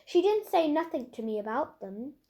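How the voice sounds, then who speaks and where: Woman as a gruff, suspicious manservant, high-pitched. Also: very animated, normal speed, warm tone. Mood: surprised, puzzled. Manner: rough, uneducated grammar. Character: gruff, suspicious, rough-spoken manservant man.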